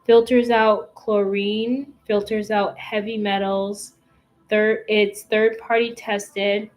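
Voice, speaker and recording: Slow, chatty woman streamer. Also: mid-range voice, level tone, poor audio. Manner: chatty, rambling, many fillers and restarts. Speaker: livestream host. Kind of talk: casual chat reading viewer comments.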